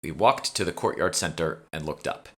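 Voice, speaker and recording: A man's voice: low-pitched, normal speed. A man reading scripted first-person fiction as an author narrating his own audiobook.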